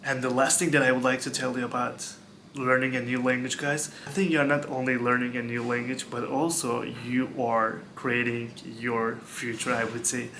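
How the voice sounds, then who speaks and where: Earnest young man, flat bright voice. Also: medium pitch, moderate pace, a little hiss or hum. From Istanbul, Turkey. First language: Turkish. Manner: earnest, repeats words, fills with like and um. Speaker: vlogger. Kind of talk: casual talk to camera.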